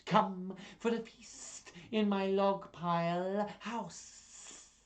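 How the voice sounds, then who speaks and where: A reader voicing a snake in a picture book, slow and drawling. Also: medium pitch, fairly even intonation, voice pushed up. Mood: sly.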